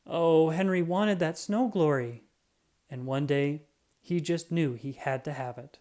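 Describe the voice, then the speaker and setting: A narrator reading a children's story aloud in an expressive, deliberate storytelling manner. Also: medium pitch. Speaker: storybook reader.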